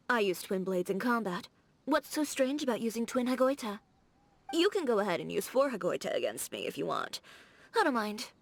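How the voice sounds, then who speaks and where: A woman voicing a confident, blunt young fashion designer in a video game, direct and playfully teasing. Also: medium pitch. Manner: direct, self-assured, teasing. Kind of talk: scripted video game character dialogue. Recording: background music.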